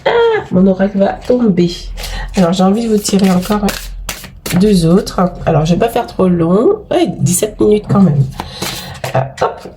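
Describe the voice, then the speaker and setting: Woman w a cold doing a tarot reading, gushy and chatty, lots of fillers, soft warm voice. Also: mid-range voice, big pitch swings, normal speed, long pauses, a little hiss or hum. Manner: chatty and effusive, frequent exclamations and fillers. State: has a cold. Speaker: tarot and oracle card reader. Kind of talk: casual spoken card reading to viewers.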